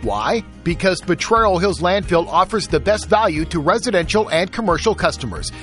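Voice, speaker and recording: A man reading a scripted radio ad in a brisk, matter-of-fact way. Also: high voice, lively intonation, fairly bright tone, faint music behind. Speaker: ad announcer.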